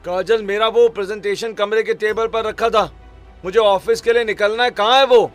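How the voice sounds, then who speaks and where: A man's voice: high voice, steady tone, normal speed, soft background music, pitched above the performer's own voice, natural voice. A man voicing an irritated, dismissive husband who talks in a scornful, demanding way in a cartoon family drama. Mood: angry, shouting. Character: irritated dismissive husband scolding his wife.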